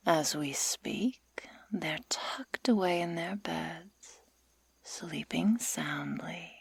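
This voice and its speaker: A woman playing a sadistic, menacing werewolf girl in an ASMR roleplay, taunting in a breathy whisper with slow, mock-sweet deliberation. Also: sits low, dry recording, heavily acted. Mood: quietly menacing. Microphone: close microphone.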